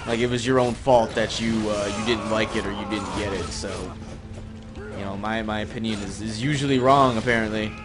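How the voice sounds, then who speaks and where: A man's voice: fairly low pitch, steady tone, brisk. A young man giving casual gameplay commentary, rambling and sarcastic with some swearing. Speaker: gaming video host. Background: game music and effects.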